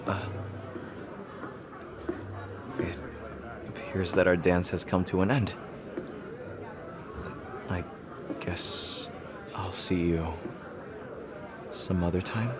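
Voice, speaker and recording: A man's voice: deep voice, fairly even intonation, takes it slowly, pauses often, a crowd in the background. A man playing a lovestruck royal rival in a romantic audio roleplay, playful and yearning, with tender, hesitant delivery. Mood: pleading, yearning. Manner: teasing, hesitant, tender, formal-leaning phrasing. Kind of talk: romantic audio roleplay, intimate ASMR acting. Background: music.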